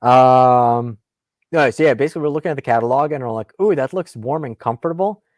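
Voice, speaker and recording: Male live stream host, native Russian speaker, rambling casual chat, mid-pitched, dry recording. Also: fairly quick, no echo. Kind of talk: casual Q&A chat about guns.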